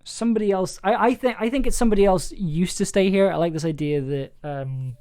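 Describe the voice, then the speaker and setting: A man's voice: high voice, a little fast, warm tone, smooth. A man livestreaming in a casual, hesitant way, thinking aloud and reading from his own story draft. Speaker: streamer and audio drama writer. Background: chill soundtrack music.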